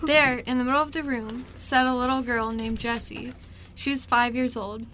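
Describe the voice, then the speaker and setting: A woman's voice: a little high, fairly even intonation, normal speed, a bit bright. A young woman reading scripted narration for an amateur radio play. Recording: faint background noise.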